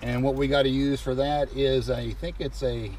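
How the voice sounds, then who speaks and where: Male DIY vlogger, mid-pitched, folksy and informal, explaining hands-on steps; clearly noisy in-vehicle recording. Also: level tone, moderate pace, a little mellow. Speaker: DIY vlogger demonstrating a car repair. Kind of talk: casual hands-on tutorial talk. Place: inside or beside a Jeep.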